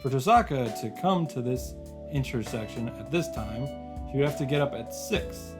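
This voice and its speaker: A man's voice: mid-range voice, lively intonation, normal speed. A man reading visual novel narration aloud as a streamer, with informal, casual reactions. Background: game music.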